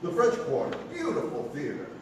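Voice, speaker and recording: A man playing an enthusiastic, flattering seventies TV talk show host with upbeat, emphatic showbiz patter in a radio play. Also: on the high side, brisk, slight background noise. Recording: echoey theatre hall from far off. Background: music.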